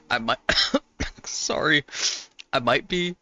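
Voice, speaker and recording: A man chatting casually while he draws on a stream, his voice hoarse from talking a lot. He rambles, corrects himself and laughs often. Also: medium pitch, extremely slow, pushed and bright, dry recording. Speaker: artist streaming while drawing. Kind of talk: casual chat answering viewers.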